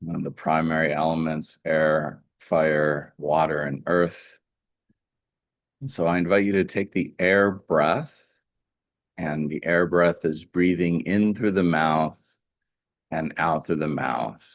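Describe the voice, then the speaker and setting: Very deep-voiced man guiding meditation. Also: no room sound, dull audio. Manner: calm, gentle, deliberate with pauses. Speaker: meditation teacher. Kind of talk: guided meditation.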